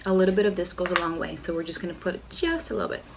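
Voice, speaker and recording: Young woman, stuffy nasal voice from a cold, gravelly and low-pitched, chatty makeup tutorial, faint hiss behind. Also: steady tone, very fast. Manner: chatty, lots of "like" and "you know". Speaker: beauty vlogger. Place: home.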